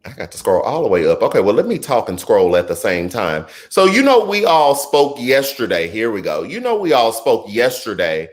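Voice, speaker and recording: A man in his thirties hosting a live political commentary stream, chatty and animated, reading viewer comments and riffing between stories. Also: medium pitch, normal speed, few pauses. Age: 30s. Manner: animated and conversational, drifts into chatty asides and laughs easily. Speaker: political talk show host.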